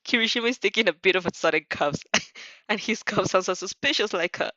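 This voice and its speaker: A woman giving an expressive, giggly story narration with spontaneous reactions, often stumbling over her words. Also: mid-range voice, a little fast, dry recording, dull audio. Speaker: fan fiction reader and reactor.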